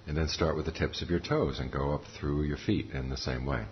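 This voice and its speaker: A man giving guided meditation instruction as a teacher, calm and measured, pausing between short phrases. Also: rumbling low. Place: meditation center talk. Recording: muffled recording with faint background noise.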